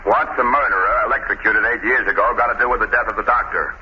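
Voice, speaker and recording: An actor playing a gruff, impatient middle-aged police commissioner in the emphatic style of 1930s radio drama. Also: male voice, fairly low pitch, fast, natural voice. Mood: gruff, skeptical. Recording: noisy, dull old radio recording.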